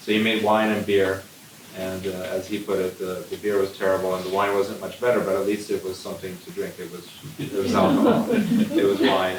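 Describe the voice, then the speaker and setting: A man who makes wine tells a rambling, good-humored story on a panel, often restarting his sentences. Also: lowish voice. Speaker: winemaker on a panel. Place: event room. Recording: echoey room, distant mic, hiss.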